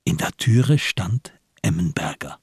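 A male narrator of a radio crime play with an extremely husky, lowish voice. He reads slowly and deliberately, with dramatic weight. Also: expressive, no room sound, studio quality.

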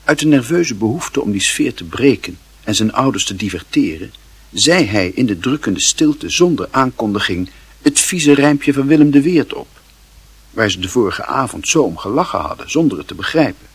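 A man narrating a radio play in a literary style, with measured, dramatic phrasing. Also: medium pitch, big pitch swings, husky, dry recording.

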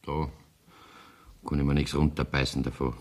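Ein Schauspieler spielt im Hörspiel einen verbitterten, mürrischen bayerischen Gesetzlosen auf der Flucht, mit bairischem Dialekt. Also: male voice, rumbling low, level tone, normal speed, darkish tone. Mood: bitter, sullen. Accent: Bavarian. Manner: gruff, sullen. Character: gloomy, bitter young Bavarian outlaw on the run. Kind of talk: radio play acting in dialect.

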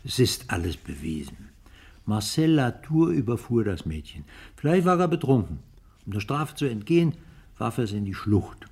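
A man's voice: lowish voice, a little mellow, breathy edge, exaggerated voice. An actor playing a stern, insistent police detective in a German radio crime drama, arguing his case with emphasis. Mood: confident, dismissive.

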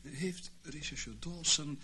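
An actor playing a sarcastic, mock-polite intelligence official in a radio play, speaking dry, leisurely officialese. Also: male voice, on the high side, steady tone, slow, bright, forceful tone, full character voice. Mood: dry, sardonic. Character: wry, sarcastic male intelligence official, calmly toying with his captive. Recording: noisy old radio play recording.

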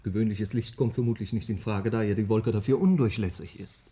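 A man's voice: fairly low pitch, brisk, dark tone, lightly acted. A man playing a practical, earnest scientist in a 1950s German radio drama, businesslike and eager. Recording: vintage 1950s radio play recording.

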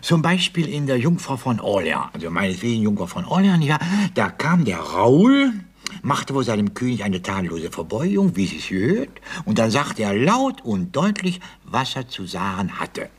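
An actor playing a pompous, scatterbrained aristocratic theatre excellency in a radio comedy, rambling, repeating himself and stammering for lost words. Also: male voice, mid-range voice, huge pitch swings, moderate pace, slightly rough. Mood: indignant, nostalgic. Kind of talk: 1960s German radio comedy acting.

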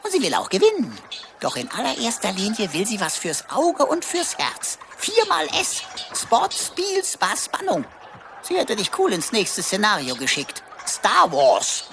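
Cheeky ai sidekick for radio play, flowery and teasing, pitch jumps around, noisy background. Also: female voice, huge pitch swings, measured pace. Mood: mocking. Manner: flowery, mock-pompous, teasing wordplay. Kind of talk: German radio play acting.

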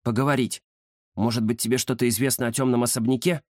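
A man's voice: mid-range voice, steady tone, fast talker, darkish tone, no echo, clean studio sound, close to an everyday voice. A man voicing a polite, curious young male cat with a mild, earnest manner in a children's story. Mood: calm, curious.

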